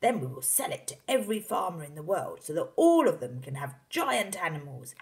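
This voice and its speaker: Lady doing an excitable storybook dad, pushy and shouty, voice jumping all over the place. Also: fairly high pitch, normal speed, voice pushed up. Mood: feverishly excited. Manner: shouting, urgent, exclamatory. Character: excitable, bossy, overeager father hatching grand schemes.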